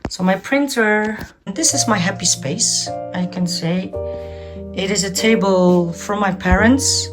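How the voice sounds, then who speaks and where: A woman in her forties from the Netherlands with a Dutch accent, giving a shy, halting, earnest tour of her home art studio. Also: medium pitch. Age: 40s. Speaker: vlogger. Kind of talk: casual studio tour chat. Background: guitar music.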